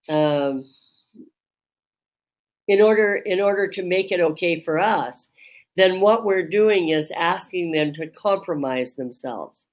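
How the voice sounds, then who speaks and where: Woman teacher for a webinar talk. Unhurried, voice sits on the low side and carries across a room, reflective. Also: rough recording. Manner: slow, measured, pausing often with ums. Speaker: Reiki teacher hosting a webinar. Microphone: webinar microphone.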